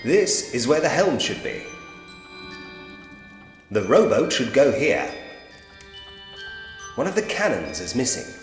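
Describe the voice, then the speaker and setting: A man's voice: mid-range voice, wildly swooping pitch, slow pace, long pauses. A man voicing a polite, drily whimsical Victorian police inspector who muses to himself in an adventure game. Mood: methodical. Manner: musing to himself, genteel, drily humorous. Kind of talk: point-and-click adventure game voice acting. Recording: reverberant room with long echo. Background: game music.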